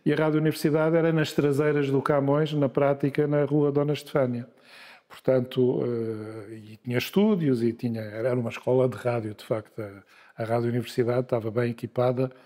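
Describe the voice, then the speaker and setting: A man in his sixties from Lisbon, telling his life story in a relaxed interview, pausing often with filler sounds. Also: mid-range voice. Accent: Lisbon Portuguese. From Portugal. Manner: unhurried, hesitant with many filler sounds and restarts. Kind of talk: conversational interview. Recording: long hall reverb.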